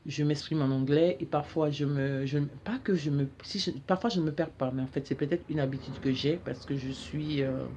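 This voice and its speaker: Halting woman hosting a show. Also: low-pitched, dark tone, highs cut off, slight background noise. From Cameroon. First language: English. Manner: halting, repeats words while searching for them. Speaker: talk show host. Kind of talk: solo monologue to camera.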